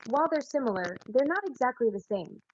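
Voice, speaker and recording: Smooth female voice assistant. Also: a little high, fast talker, muffled recording, slight background noise. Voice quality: smooth, even machine voice. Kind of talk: synthetic assistant answer.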